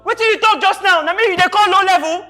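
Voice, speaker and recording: Here is a combative Nigerian street character acted out on stage by a performer, boastful and quick to threaten. The voice is high and forceful, with music behind it. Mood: indignant. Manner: combative, loud, repetitive challenges. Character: brash, quarrelsome street character called Piracy, quick to threaten. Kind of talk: stage play acting in Nigerian Pidgin.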